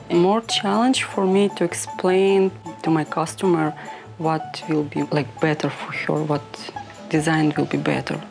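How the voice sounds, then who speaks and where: A young woman from Ukraine with a Ukrainian accent, a fashion designer talking in halting English full of "like" fillers. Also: lowish voice, creaky. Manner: halting non-native English with many "like" fillers. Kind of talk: interview talk.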